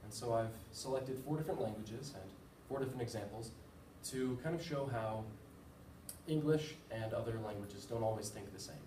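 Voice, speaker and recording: A man's voice: mid-range voice, normal speed, mellow. A young man from the United States gives a casual, informative class presentation, with a few fillers and self-deprecating asides. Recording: echoey room, far from the mic, with background noise.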